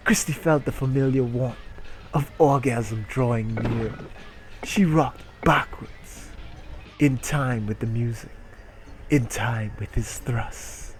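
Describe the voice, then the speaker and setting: A man reads a story aloud as a dramatic performance, with theatrical narration played for comedy. His pace is slow and deliberate, and music plays behind him. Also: mid-range voice. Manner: theatrical narration breaking into incredulous asides and giggles. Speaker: reader performing a dramatic reading. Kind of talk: comic dramatic reading of an erotic short story.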